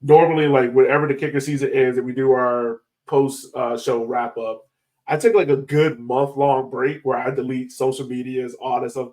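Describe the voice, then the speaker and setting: A man's voice: mid-range voice, steady tone, normal speed, a little mellow. Casual male podcast host, slangy and informal. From Jamaican heritage. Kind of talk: casual interview chat.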